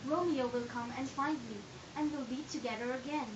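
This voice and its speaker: A lovesick teenage girl, played by a woman, pleads anxiously in a high voice. The mic is far off in an echoing room, and the sound is dull with light noise. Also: fairly quick, natural voice. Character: desperate teenage girl in love.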